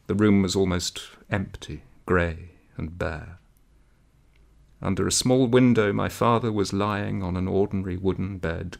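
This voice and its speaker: A man's voice: deep voice, creaky. A man reading a literary story aloud in a dramatic manner. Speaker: audiobook reader.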